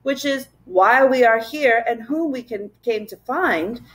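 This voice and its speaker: A woman voicing a steady, polite male professor in a children's storybook, speaking with calm, encouraging emphasis. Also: on the high side, measured pace. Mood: firm resolve. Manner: emphatic, courteous, determinedly calm.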